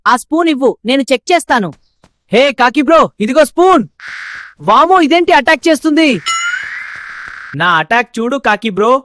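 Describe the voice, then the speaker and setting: A woman's voice: high-pitched, expressive, normal speed, pauses often, noisy background. A woman playing a skeptical, bossy eight-year-old boy who scolds his best friend in a children's comedy cartoon. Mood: cocky, excited.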